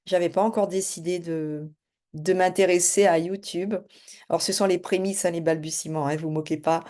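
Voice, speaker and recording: Middle-aged woman coach, lowish warm voice, quick casual delivery full of fillers. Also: fairly even intonation. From French Guiana. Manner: fast-talking, hesitant with many fillers. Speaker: spiritual and business coach. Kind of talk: casual self-introduction to camera.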